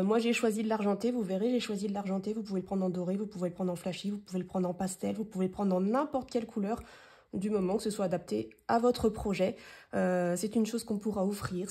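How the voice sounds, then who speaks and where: A woman's voice: medium pitch, mellow, breathy edge. Chatty Frenchwoman with a head cold. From France. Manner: chatty, rambling, lots of fillers. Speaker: crafting tutorial host. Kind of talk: casual hands-on craft tutorial.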